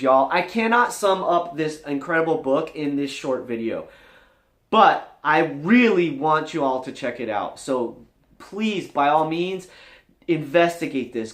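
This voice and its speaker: A man giving an earnest, emphatic motivational talk to camera as a vlog host, encouraging his viewers. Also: fairly high pitch. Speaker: vlogger, channel host. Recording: roomy indoor echo.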